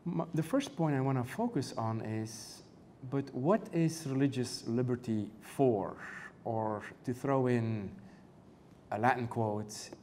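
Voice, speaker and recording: A man with a European accent, a canon law professor speaking on a conference panel in a measured way, with many fillers and rhetorical questions. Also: medium pitch, expressive, darkish tone, a little hiss or hum.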